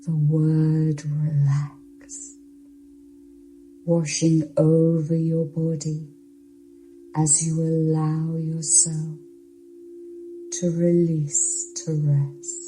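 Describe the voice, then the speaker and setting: A woman narrating a guided yoga nidra meditation in a soothing, hushed, unhurried voice with long pauses. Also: low-pitched, monotone, a little hiss or hum, low-quality recording.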